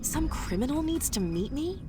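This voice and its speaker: An actress playing a guarded, defiant reformed outlaw in a video game, with a sassy, blunt manner. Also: female voice, high voice, very animated, moderate pace. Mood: wary, defiant. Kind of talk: video game cutscene voice acting. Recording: music clearly behind the voice.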